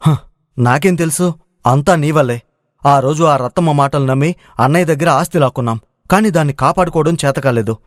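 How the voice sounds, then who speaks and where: A man's voice: a little high, brisk, natural voice. A man playing a weak, debt-ridden younger brother in a moral story, speaking in an emotional, fretful way. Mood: irritated, blaming. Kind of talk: acted moral-story dialogue.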